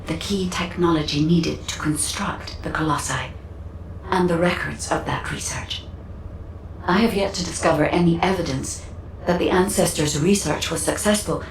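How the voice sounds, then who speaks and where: A woman playing a composed, analytical guide in a sci-fi game, speaking in formal, deliberate, grave exposition. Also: low-pitched, gravelly, slight background noise. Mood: grave, concerned. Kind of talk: scripted game dialogue, measured exposition. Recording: echoing voice over faint game ambience.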